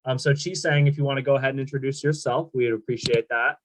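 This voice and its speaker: A man's voice: medium pitch, fairly even intonation, fast talker, a little hiss or hum. A man moderating a panel webinar over a video call, friendly and upbeat with frequent ums. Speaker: event moderator.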